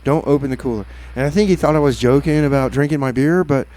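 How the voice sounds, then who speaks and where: A man's voice: mid-range voice, fast talker, warm tone, poor audio. Rambling, hesitant male radio DJ. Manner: rambling and hesitant, many fillers and restarts. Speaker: radio DJ and show host. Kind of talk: casual on-air chat between songs. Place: community radio studio.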